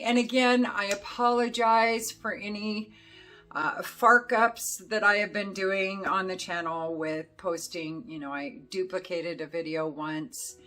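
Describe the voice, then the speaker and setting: A middle-aged woman with a hoarse voice, chatting casually as she reviews perfumes, rambling along with plenty of fillers. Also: sits low, fairly bright tone. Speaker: fragrance reviewer. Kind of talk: casual chat to camera.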